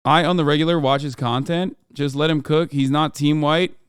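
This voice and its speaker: A man's voice: a little high, fairly even intonation, normal speed, clean tone, dry recording, clean studio sound. A man from Turkey, in his thirties, giving heated, profane reaction commentary as a streamer, lecturing and emphatic.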